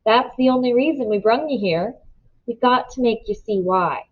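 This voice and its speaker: A woman's voice: fairly high pitch, moderate pace, narrow, tinny audio, close to an everyday voice. A woman reading aloud as a motherly farm woman who fusses over the children and soothes them in homespun talk. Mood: soothing. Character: motherly middle-aged farm woman, fussing, kind.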